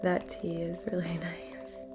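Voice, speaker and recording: Female spiritual host, lowish voice, gentle and near-whispering, casual guided-ritual delivery with music clearly underneath. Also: completely flat delivery, slow pace. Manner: soft-spoken, often slipping into near-whisper.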